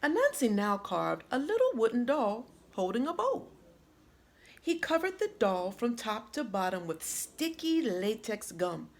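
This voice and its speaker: Female storyteller for children: unhurried, highly varied intonation, deliberate dramatic phrasing, teacherly read-aloud. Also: big pitch swings.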